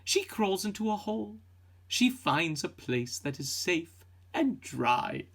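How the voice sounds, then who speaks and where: A man reads a children's story in a slow, husky voice. His narration is dramatic and suspenseful, with expressive shifts in tone. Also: high voice. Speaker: picture book reader.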